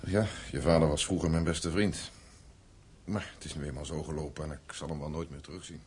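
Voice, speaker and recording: An actor in a radio drama playing a stern, embittered old grandfather who speaks in a formal, measured, blunt way. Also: rumbling low, steady tone. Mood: resigned, polite. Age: older.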